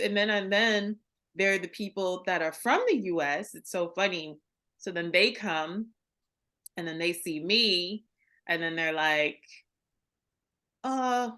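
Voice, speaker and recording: A woman in her thirties with a bright, crisp voice. She tells quick stories on a video call and acts out the lines of the people in them, laughing as she goes. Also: mid-range voice. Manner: animated storyteller, acts out quoted lines, laughs often. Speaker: interviewer. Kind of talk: casual video-call interview. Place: indoor room at home.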